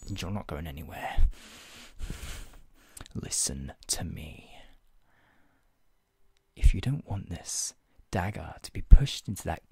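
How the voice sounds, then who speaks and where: Casting: male actor as menacing redcoat interrogator, lowish voice, clipped and officious, ASMR roleplay, dry close sound. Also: creaky, a little mellow, breathy edge, no echo, full character voice. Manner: clipped, authoritative, formally polite with condescending threat. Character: stern, menacing British redcoat soldier interrogating a colonist. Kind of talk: ASMR roleplay, one-sided scripted dialogue.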